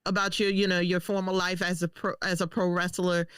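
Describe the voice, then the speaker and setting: Podcast co-host, woman, loud and teasing, dry close recording. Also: fairly low pitch, level tone, a little fast, smooth, no room sound. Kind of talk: casual podcast chat.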